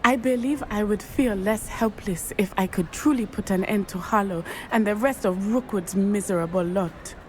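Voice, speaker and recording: A woman's voice: medium pitch, normal speed, hardly any pauses, a little mellow, a little hiss or hum, close to an everyday voice. An actress playing an earnest, determined young student witch with a precise, formal way of speaking. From Matabeleland. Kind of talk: video game voice acting.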